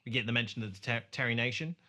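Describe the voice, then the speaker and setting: A man hosting a podcast, casually discussing a film in a halting way with false starts. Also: medium pitch, sharp tone. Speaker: podcast host.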